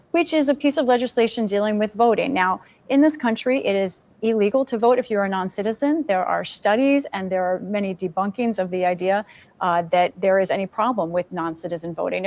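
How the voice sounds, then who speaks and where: Woman, American accent, medium pitch, news correspondent, explanatory live report.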